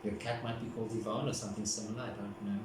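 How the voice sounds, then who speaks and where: Low-voiced man in echoey room. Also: flat delivery, racing. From South Africa. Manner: gentle, meandering, repeats "as well" often. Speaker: tarot card reader. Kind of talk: casual spoken tarot reading. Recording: very echoey room, distant mic, background noise.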